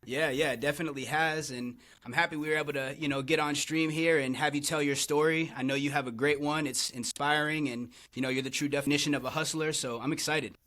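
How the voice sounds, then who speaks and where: A man's voice: on the high side, fairly even intonation, quick pace, few pauses, bright, forceful tone. A man hosting a casual interview podcast, upbeat and encouraging, often answering with "for sure, man.". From Tampa area, Florida. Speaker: podcast host.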